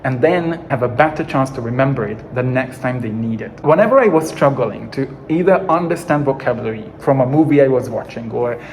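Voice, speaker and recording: A man's voice: medium pitch, expressive, brisk, vocal fry, hardly any pauses, a little hiss or hum. A young adult man from Hungary with a Hungarian accent, speaking English as a second language, giving an earnest, encouraging teaching monologue as an English coach.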